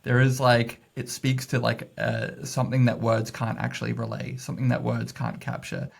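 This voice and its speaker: A man's voice: medium pitch, monotone, normal speed, gravelly, slightly rough. A man talking as a podcast guest, loose and casual, full of fillers and laughs as he thinks aloud. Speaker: guest, messaging strategist. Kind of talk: casual interview chat.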